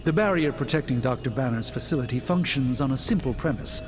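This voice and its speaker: A man playing a learned, self-assured sorcerer doctor in a video game cutscene, speaking in formal, precise, deliberate phrases. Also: mid-range voice, natural voice. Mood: explaining, grave. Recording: loud game music behind.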